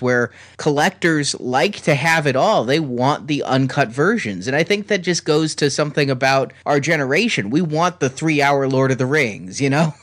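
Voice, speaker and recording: A man's voice: mid-range voice, fairly bright tone. A man giving fluent, opinionated commentary in a conversational style.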